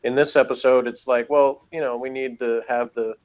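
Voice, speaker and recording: A man's voice: mid-range voice, steady tone, normal speed. Casual chatty man reviewer. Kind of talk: casual conversational review chat.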